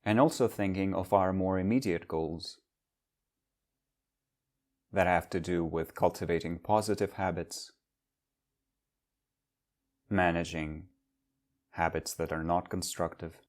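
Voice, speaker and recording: A man leading a guided meditation as a teacher, speaking in measured, instructional phrases with long pauses. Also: sits low, a little mellow.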